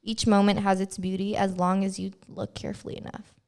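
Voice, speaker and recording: A young woman co-hosting a casual podcast, chatty and quick to laugh as she reads out personality test results. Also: medium pitch, level tone, vocal fry, darkish tone. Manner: giggly, chatty, laughs often. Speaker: podcast co-host. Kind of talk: casual chat, reading test results aloud.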